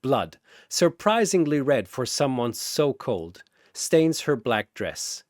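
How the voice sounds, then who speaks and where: A man's voice: medium pitch, sing-song, slow pace, no echo, clean studio sound. A man reading a scripted battle story as a dramatic narrator.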